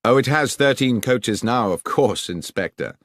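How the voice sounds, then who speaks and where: A man voicing a composed, brilliant Victorian detective in an audiobook, precise, deliberate and assertive as he questions. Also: medium pitch, expressive, a bit bright, no room sound, clean studio sound. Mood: confident, explanatory.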